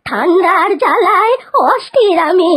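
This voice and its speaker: A woman's voice: very high-pitched, animated, fairly bright tone, a little hiss or hum, heavily acted. A woman playing a stingy, grumbling old village grandmother in a children's cartoon, scolding and dismissive. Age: older. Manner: scolding, dismissive, drawn-out exclamations. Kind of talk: Bengali children's cartoon acting.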